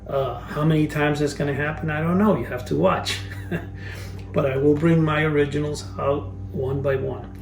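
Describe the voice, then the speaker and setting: A man's voice: a little high, steady tone, normal speed, creaky, darkish tone, roomy sound, far from the mic. A Cuban-born man in his fifties chatting casually as he reviews old collectibles, rambling and restarting his sentences. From Cuba. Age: 50s. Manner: rambling, restarts phrases, chuckles at his memories. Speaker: collector reviewing vintage items. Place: cluttered storage room.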